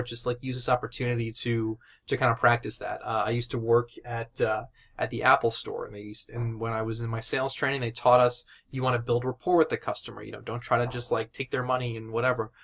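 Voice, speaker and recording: A man's voice: medium pitch, level tone, fast, gravelly, fairly bright tone. A man giving casual, informal instruction as a course teacher, talking conversationally and often checking in with his listeners. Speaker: instructor of a sales and digital marketing course.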